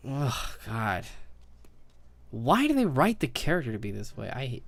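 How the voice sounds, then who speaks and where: A man's voice: mid-range voice, sing-song, measured pace, gravelly. A man giving casual, opinionated commentary on video game cutscenes in a rambling, sweary way.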